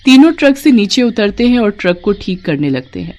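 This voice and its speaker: A woman's voice: mid-range voice, sing-song, brisk, a little mellow. A woman reading a scripted devotional moral tale as a storyteller. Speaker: narrator.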